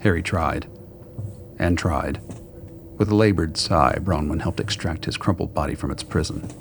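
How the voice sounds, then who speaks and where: A man with a gravelly voice reading scripted dramatic story narration. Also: rumbling low, normal speed, slight background noise.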